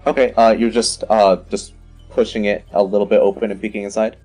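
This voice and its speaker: Low-voiced man narrating as game master. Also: level tone, brisk, darkish tone. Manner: conversational, descriptive. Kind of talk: narrating a tabletop fantasy game. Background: music.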